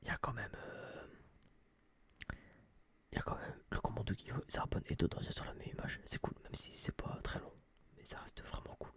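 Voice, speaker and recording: A young man whispering close to a binaural microphone in a casual, rambling, blunt ASMR review style, with a breathy, unvoiced voice. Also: on the low side, animated, normal speed, a bit bright. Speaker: ASMR channel host reviewing a film.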